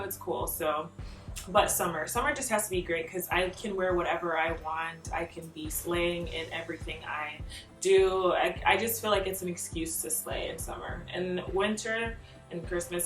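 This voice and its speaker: A young woman vlogging, chatting through tag questions in an animated way, laughing often and filling her talk with "like.". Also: on the low side. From Nigeria. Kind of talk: casual chat answering tag questions. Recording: roomy echo, mic a bit far off, faint music behind.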